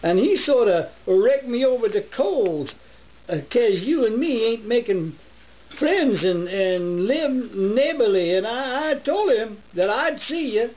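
A man's voice: very high-pitched, animated, slow, pitched above the performer's own voice, full character voice. An older man voicing a scheming male fox who feigns neighborliness, in a put-on American Southern dialect for a storybook tale. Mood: falsely friendly. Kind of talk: storybook reading. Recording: muffled home camera recording with hiss.

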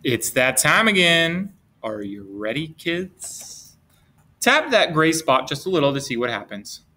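Animated man reading aloud to young children. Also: a little high. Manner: playful, expressive, with drawn-out words and simple questions. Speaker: teacher reading a picture book to children. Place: indoors at a desk, webcam with book camera.

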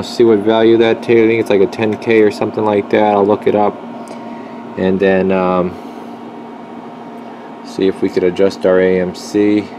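Low, gravelly man talking through repairs. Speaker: radio repair technician and video host. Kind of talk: casual hands-on repair commentary. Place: indoor electronics workshop bench. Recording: noisy workshop with steady background hum.

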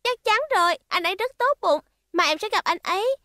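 A woman's voice: very high-pitched, animated, brisk, pushed and bright, dry recording, studio quality, heavily acted. A woman voicing a cheerful, gushing little girl, a starstruck younger sister, in a Vietnamese cartoon dub. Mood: gushing, eager. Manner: gushing, eager.

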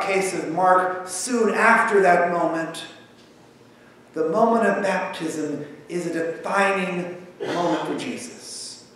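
Male pastor, 30s, slightly high voice, conversational sermon, heavy church reverb from a distant camera. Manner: conversational, animated, gesturing at the pulpit. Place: large church sanctuary with pipe organ. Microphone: far camera across the sanctuary. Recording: echoey sanctuary from far off, faint hiss.

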